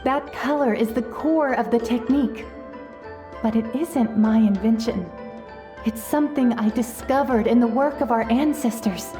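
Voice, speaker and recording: A gentle, wise shaman woman from a fantasy game voiced by an actress, with a fairly high voice. She explains things calmly and in measured phrases while music plays prominently behind her. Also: close to an everyday voice. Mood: calm, tender. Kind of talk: video game voice acting.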